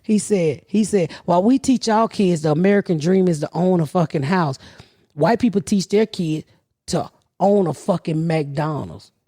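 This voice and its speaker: A woman's voice: lowish voice, normal speed, mellow, husky, dry recording, clean studio sound. A middle-aged woman, a podcast host telling a long story in a blunt, profane, rambling way. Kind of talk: casual storytelling.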